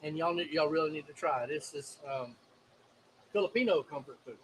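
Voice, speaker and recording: High-voiced co-host, easygoing. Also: male voice, brisk, a bit bright, a little hiss or hum, poor audio. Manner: easygoing, chimes in with questions. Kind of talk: casual chat.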